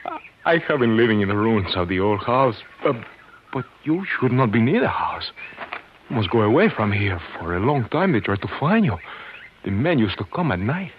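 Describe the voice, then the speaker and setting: An actor playing a loyal, anxious elderly Mexican servant with a Spanish accent and halting, deferential delivery in the style of 1950s radio drama. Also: male voice, mid-range voice, big pitch swings, natural voice. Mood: anxious, pleading. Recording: noisy, muffled old 1950s radio recording.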